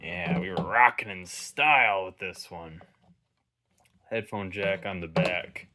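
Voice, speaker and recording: Man, low voice, casual show-and-tell host, unscripted, musing, rambling asides. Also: takes it slowly, background noise. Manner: musing, rambling, thinking aloud. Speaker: host of a vintage electronics inspection video.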